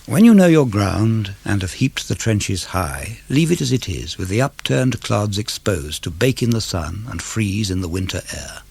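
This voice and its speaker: A man reading poetry for radio in a measured, declamatory way, with rising and falling phrases. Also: fairly low pitch, big pitch swings, gravelly, darkish tone, slightly rough. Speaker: poetry reader. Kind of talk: dramatic radio verse reading.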